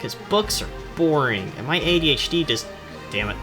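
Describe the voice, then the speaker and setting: A man's voice: a little high, animated, normal speed. A man giving casual, rambling video game commentary in a jokey, sarcastic and self-deprecating way. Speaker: gaming video host. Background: music behind the voice.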